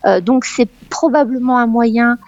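A woman's voice: a little high, unhurried, a little mellow, dry recording. A woman from Paris, speaking as a novelist guest in an interview. She talks animatedly and exclaims a lot, breaking off often with hesitations. The sound is slightly dull and very dry. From Paris, France. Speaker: novelist guest, former dental expert. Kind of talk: radio interview, conversational.

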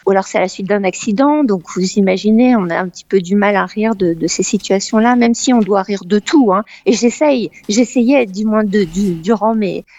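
A woman's voice: mid-range voice, moderate pace, hardly any pauses, dark tone, dry recording. A woman from Paris, speaking as a novelist guest in an interview. She talks animatedly and exclaims a lot, breaking off often with hesitations. The sound is slightly dull and very dry. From Paris, France. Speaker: novelist guest, former dental expert. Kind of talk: radio interview, conversational.

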